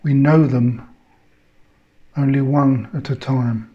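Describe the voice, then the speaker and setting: A man leading a guided meditation in measured short phrases, leaving long pauses between them. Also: mid-range voice, steady tone, lo-fi sound.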